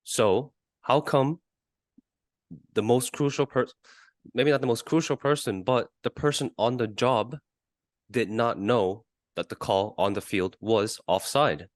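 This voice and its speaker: A man co-hosting a sports podcast in casual discussion, arguing his points haltingly and often repeating words. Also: mid-range voice, dry recording, clean studio sound. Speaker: podcast co-host.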